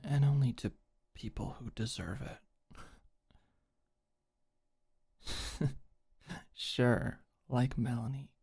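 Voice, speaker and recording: A young woman playing a tough but awkward, secretly tender delinquent girl in an intimate ASMR roleplay, speaking softly and hesitantly. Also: rumbling low, creaky, husky, full character voice. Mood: teasing, sheepish.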